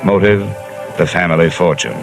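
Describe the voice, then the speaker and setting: A hard-boiled insurance investigator, narrating in a low, clipped voice. The old radio recording has loud music behind the words. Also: male voice, normal speed, vocal fry. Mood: terse. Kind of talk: 1950s radio drama narration.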